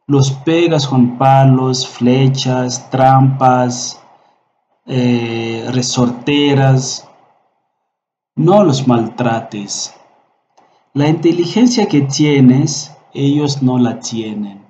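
A middle-aged man from the Democratic Republic of the Congo, a professor whose first language is Swahili, speaking slowly with many fillers as he introduces and recites a short poem from a webcam in a small room. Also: medium pitch, mellow, reverberant, muffled recording, a little hiss or hum. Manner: slow, hesitant speech with frequent fillers. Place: small indoor room at a desk.

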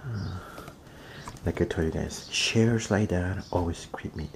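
A young man vlogging as he explores an abandoned house, talking excitedly to his viewers, recorded on a handheld camera. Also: low-pitched, a little slow, a little hiss or hum. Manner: excited, addresses viewers as fams. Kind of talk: casual exploring vlog. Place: outdoors and inside an abandoned rural house. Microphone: handheld camera at arm's length.

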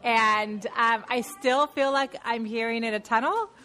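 A woman's voice: a little high, a little slow, pushed and bright. A woman in her thirties leads a women's Bible study, her voice audibly nasal from a sinus infection. She talks in a loose, chatty way, laughing easily and restarting her sentences as she shares with the group. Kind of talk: casual talk to a women's group. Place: church meeting room.

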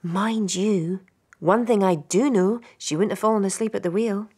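A woman's voice: medium pitch, steady tone, normal speed, warm tone, husky, close to an everyday voice. A woman acting in a radio drama as a defensive, gossipy stage actress being questioned, speaking expressively. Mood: confiding, gossipy.

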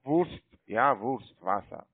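A man with a foreign accent on a sales call, speaking hesitantly in halting non-native phrasing. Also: medium pitch, expressive, husky, no room sound. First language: not native German speaker. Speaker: cold-calling online trading agent. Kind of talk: unscripted phone sales call. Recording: tinny narrow phone line.

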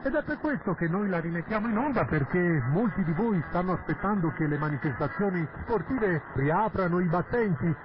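High-voiced man on noisy shortwave radio. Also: animated, brisk, hardly any pauses, lo-fi sound. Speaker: radio programme host. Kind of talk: scripted radio programme introduction.

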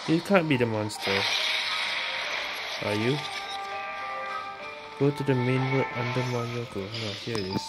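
A woman streams a game with casual, offhand commentary, half talking to herself. Her voice is extremely low, with loud game music behind it. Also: unhurried, long pauses, poor audio. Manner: offhand, muttering to self. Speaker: gaming streamer.

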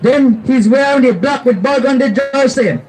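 Man, middle-aged, very high voice, live race call, outdoor track, handheld mic. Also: normal speed, rough recording. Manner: excitable, rising to shouts at the finish. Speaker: race announcer. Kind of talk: live horse race commentary. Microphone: handheld mic held close.

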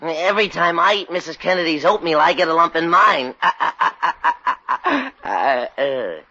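A goofy, flustered fellow in an old radio comedy. He stammers along in a bright, swooping voice, on a muffled recording. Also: fairly high pitch, big pitch swings, normal speed, no echo, full character voice. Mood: goofy, awkward. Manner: stammering, repeats himself. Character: goofy, eager wisecracking male neighbor, easily flustered. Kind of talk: 1940s radio comedy acting.

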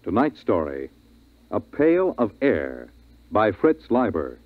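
Male 1950s radio announcer, dramatic, wildly varied low delivery, muffled broadcast sound. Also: wildly swooping pitch, takes it slowly.

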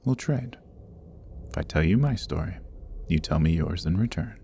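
A man's voice: low voice, lively intonation, moderate pace, creaky, warm tone, a little hiss or hum. A man playing a sardonic, roguish jailed thief in a fantasy ASMR roleplay, with a wry, teasing delivery that often drops toward a murmur. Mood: sly, wry. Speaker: audio roleplay voice actor.

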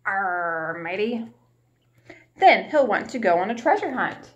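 A woman's voice: mid-range voice, sing-song, highs cut off, slight background noise. A young woman reading a picture book aloud to small children, like a preschool teacher asking them questions as she goes, recorded in a small room at home on a webcam. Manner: warm teacherly, asks the children questions and pauses. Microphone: webcam at arm's length.

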